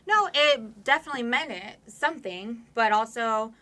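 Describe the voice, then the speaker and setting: Woman, 20s, podcast guest, candid, rambling, bright clear tone. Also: a little high, lively intonation, normal speed. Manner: candid, explains at length with many fillers. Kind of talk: casual conversation.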